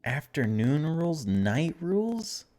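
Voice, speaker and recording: A man's voice: on the high side, very animated, slow pace, mellow. A man giving a casual, chatty let's-play, reading game text aloud and adding wry asides. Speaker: video game streamer.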